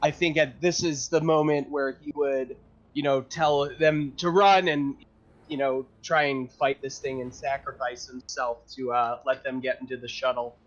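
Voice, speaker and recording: A man playing a tabletop role-playing game, chatting casually and thinking out loud with some swearing. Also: medium pitch, fairly even intonation, normal speed, highs cut off, soft background music.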